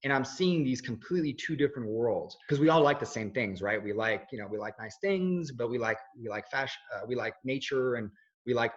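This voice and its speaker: A man's voice: medium pitch, fairly quick. A man in his thirties, raised in Switzerland, with no accent, speaking as an interviewee in an earnest, rambling way full of restarts and fillers. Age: 30s. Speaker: interviewee, video journalist. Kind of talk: casual conversational interview. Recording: dull lo-fi audio.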